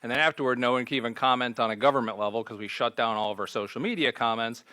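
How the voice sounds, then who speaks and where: A man's voice: mid-range voice, rapid-fire, bright, forceful tone, no room sound, clean studio sound. A man speaking as a board member in a government meeting, insistent and argumentative. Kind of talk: formal meeting remarks, arguing a point.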